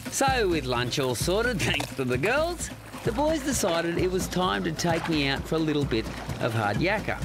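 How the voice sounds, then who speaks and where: A man's voice: on the high side, very animated, normal speed, background noise. A man with an Australian accent presenting a travel program, mixing scripted narration with casual chat in a playful, colloquial storytelling manner. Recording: loud music bed behind the voice. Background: music.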